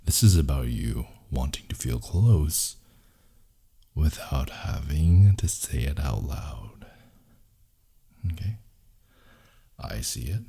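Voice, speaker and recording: A very deep, breathy male voice, an actor as a brooding yandere boyfriend in an ASMR audio story. He talks slowly and intimately, slipping into husky whispers with dramatic pauses. The tone is possessive and wounded, with a dark, mellow timbre. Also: heavily acted. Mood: low, intense. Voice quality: very low, breathy, husky, often dropping to a whisper. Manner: slow, intense, teasing murmur with dramatic pauses.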